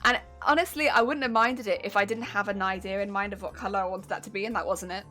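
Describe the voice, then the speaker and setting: A woman's voice: medium pitch, steady tone, fast, sharp tone, faint music behind. A young woman with an English accent, chatting casually on a live stream as she answers chat questions and critiques her own sewing. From England.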